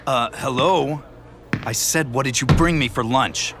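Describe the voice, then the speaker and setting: An actor playing a surly schoolboy bully, blunt, sullen and demanding, in an audio drama. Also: male voice, mid-range voice, unhurried, background noise, lightly acted. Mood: menacing.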